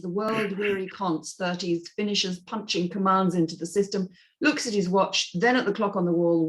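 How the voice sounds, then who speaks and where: Woman narrating a screenplay, medium pitch. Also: low-quality recording. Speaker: narrator reading stage directions.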